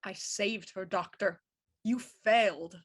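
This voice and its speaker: An actress playing a composed, shrewd Victorian police inspector in a radio mystery, speaking in a cool, deliberate, probing way. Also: female voice, mid-range voice. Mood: firm.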